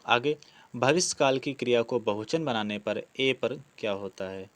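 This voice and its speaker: Male teacher taking quiz questions, mid-pitched voice. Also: moderate pace. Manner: explanatory, often repeats words and answers. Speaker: exam-prep Hindi grammar teacher. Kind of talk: online lesson, working through quiz questions.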